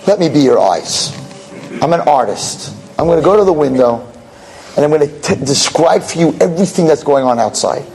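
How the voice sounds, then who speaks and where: A man's voice: fairly high pitch, lively intonation, moderate pace, a little mellow, poor audio. A man voicing a kind, cheerful old artist in a wheelchair in a spoken story, talking in an earnest, insistent way. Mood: earnest, pleading.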